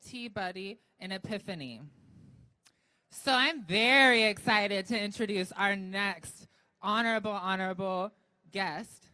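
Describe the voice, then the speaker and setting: A woman's voice: mid-range voice, sharp tone, no echo, poor audio. A woman emceeing a march, thanking groups and introducing the next speaker with upbeat energy. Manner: upbeat, cheering. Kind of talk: event introduction. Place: outdoor rally.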